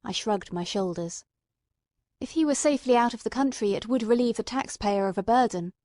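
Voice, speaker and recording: A woman's voice: a little high, brisk, mellow, no echo, studio quality. A woman reading scripted first-person narration from a classic story.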